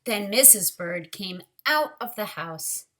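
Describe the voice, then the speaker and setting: A woman teacher leading a read-aloud storytime for young children, speaking deliberately and directly to them. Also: medium pitch, animated, pushed and bright, a little hiss or hum.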